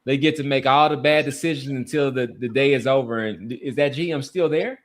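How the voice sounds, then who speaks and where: A man's voice: mid-range voice, a little fast. A man hosting a sports podcast, debating casually and repeating phrases for emphasis.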